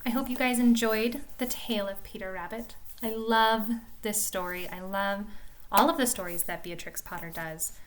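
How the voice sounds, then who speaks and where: A woman reading a children's storybook aloud in a gentle, expressive storytelling manner with dramatic pauses. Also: medium pitch, slight background noise. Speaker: storybook reader.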